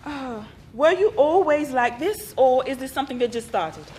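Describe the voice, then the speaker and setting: An actress playing a calm, patient therapist who asks measured questions. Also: female voice, sits high, a little hiss or hum. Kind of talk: stage play acting.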